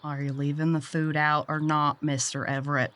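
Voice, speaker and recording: An actress voices a gruff, seasoned female boss in an audio drama. Her voice is a bit low. She gives orders bluntly, with dry sarcasm and folksy slang. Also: little pitch movement, moderate pace, a bit bright, a little hiss or hum. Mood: flat, weary. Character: gruff, sardonic Southern woman pest catcher, seasoned boss. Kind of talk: audio drama character acting.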